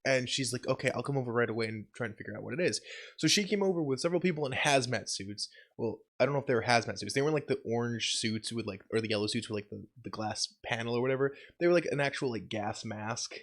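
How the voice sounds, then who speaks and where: A man's voice: quick pace, a bit bright. Sick young man, swearing, rambling vlog. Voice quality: deeper voice than usual from being sick. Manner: swears and laughs often, rambling and exasperated. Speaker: channel host. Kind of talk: casual vlog update.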